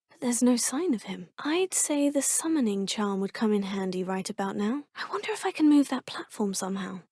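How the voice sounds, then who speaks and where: Young female witch student; polite, musing, breathy, dry close studio sound. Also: a little mellow, dry recording. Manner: polite, composed, often musing in low asides. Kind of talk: video game voice lines. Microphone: close, dry studio mic.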